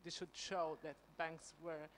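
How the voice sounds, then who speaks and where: A woman's voice: deep voice, flat delivery, unhurried, slight background noise. A woman in the audience of a conference asking a long, earnest question with many restarts. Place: conference hall.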